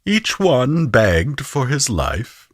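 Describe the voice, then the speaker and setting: A man's voice: mid-range voice, wildly swooping pitch, extremely slow, dry recording, studio quality, voice pushed up, full character voice. A man voicing a sadistic, gloating military hunter who taunts with theatrical contempt. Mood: gloating menace.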